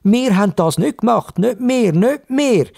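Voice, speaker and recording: Man putting on excited, high-pitched children's voices. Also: unhurried, vocal fry, exaggerated voice. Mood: alarmed protest. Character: excited children protesting and pleading.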